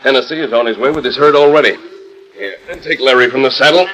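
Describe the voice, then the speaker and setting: A man's voice: mid-range voice, fast talker, highs cut off, natural voice. A man acting a resolute, kindly masked western hero in a 1940s radio drama. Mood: commanding. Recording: old 1940s radio transcription disc.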